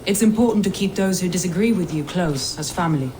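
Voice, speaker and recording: A woman's voice: lowish voice, expressive, fast talker, background noise, lightly acted. A woman playing a proud, resolute Danish warrior leader, loyal to her people, in dramatic video game dialogue. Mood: measured, fond. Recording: music behind the voice.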